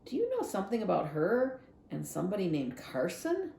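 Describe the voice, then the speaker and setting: Woman playing an eager, outspoken young girl, lively pitch. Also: brisk, vocal fry, reverberant. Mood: questioning, insistent. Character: young girl, earnest, curious, stubborn, outspoken. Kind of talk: acted children's novel read-aloud.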